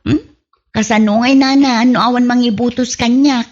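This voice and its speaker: Actress doing a chatty modest wife in radio comedy, highish voice, kinda muffled audio. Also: female voice, fairly even intonation, normal speed, darkish tone, lightly acted. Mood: surprised, reluctant. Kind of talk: comedy radio drama acting.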